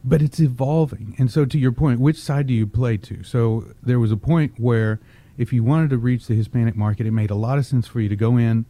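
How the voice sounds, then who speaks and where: A man from Texas, founder and CEO of an agency, giving measured interview answers with frequent ums. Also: medium pitch, dark tone, no echo. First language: English, also grew up with Spanish.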